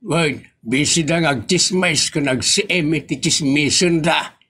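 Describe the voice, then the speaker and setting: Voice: mid-range voice, slow pace, a bit bright, slightly rough. An actor playing a grumbling, impatient older Filipino man with an Ilocano accent in a comedy audio drama.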